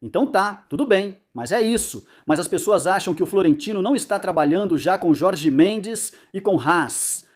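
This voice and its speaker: A man's voice: sits high, lively intonation, normal speed, hardly any pauses. A man giving confident, emphatic predictions as a football pundit in a casual debate chat.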